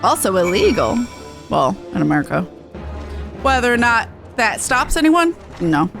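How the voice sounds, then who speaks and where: A woman's voice: fairly high pitch, sing-song, normal speed, vocal fry, music in the background. A woman game streamer chatting casually and reading quest text aloud.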